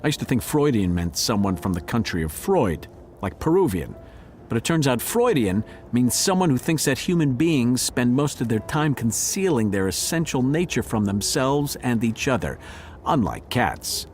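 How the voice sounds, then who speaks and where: A man playing a wry, philosophizing cat who narrates an audio murder mystery with deadpan musing. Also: mid-range voice, normal speed, slight background noise, close to an everyday voice.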